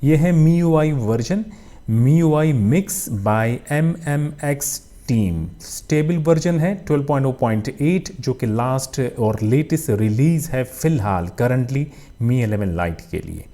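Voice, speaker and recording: A man with a Pakistani accent hosts a casual phone review. His voice is soft-edged and mellow, sitting at an ordinary male pitch. He leans on filler phrases and drops English tech terms into his explanations. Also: lively intonation, measured pace. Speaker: tech reviewer and channel host.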